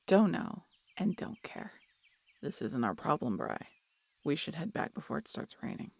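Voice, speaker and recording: Woman acting a gravelly, grumpy female harpy. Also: sits low, wildly swooping pitch, normal speed, a little mellow, dry recording, full character voice. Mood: stern. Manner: sardonic, curt, grudging. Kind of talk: audio roleplay acting.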